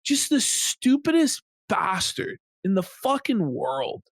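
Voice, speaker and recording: A man's voice: sits high, big pitch swings, takes it slowly, dry recording. A man co-hosting a show with casual, scornful reaction commentary, swearing freely. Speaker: show co-host.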